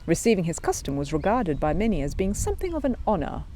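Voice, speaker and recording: A woman's voice: fairly low pitch, very animated, fast talker, dark tone, slight background noise. A woman storyteller narrating and introducing a children's book.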